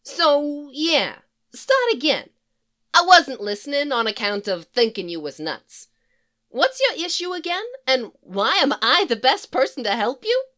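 A woman's voice: sits high, unhurried, voice pushed up, heavily acted. A woman voicing a brash former psychiatrist turned supervillain. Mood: brassy. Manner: brassy, excitable, slangy.

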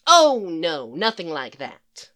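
A woman voicing a calm, literal-minded male psychiatrist in a matter-of-fact manner. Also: low voice, slow, exaggerated voice. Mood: dry. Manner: matter-of-fact, even, unflappable.

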